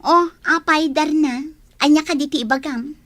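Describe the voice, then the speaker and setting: A woman's voice: sits high, normal speed, fairly bright tone. A woman playing a lazy, ambitious young village daughter who is defiant, in an Ilocano comedy radio drama. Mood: casual.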